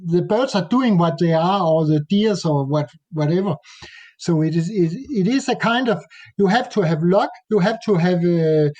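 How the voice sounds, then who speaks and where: A Danish man speaking English with a Danish accent, talking haltingly in a casual interview and often pausing to search for words. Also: high voice, darkish tone, muffled recording. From Denmark. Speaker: nature photographer guest.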